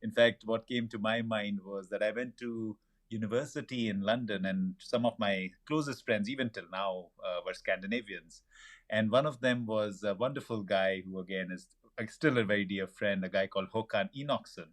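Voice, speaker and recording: Effusive low-voiced male podcast host. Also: moderate pace. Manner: effusive, warm praise and eager questions. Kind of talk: conversational interview.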